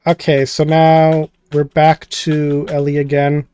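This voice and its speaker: A man's voice: a little high, completely flat delivery, slow, dark tone, clear, resonant tone, dry recording, soft background music. A man giving casual, rambling, jokey gameplay commentary and reading game text aloud as host. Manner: rambling, jokey, skims text with filler words. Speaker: host playing a visual novel.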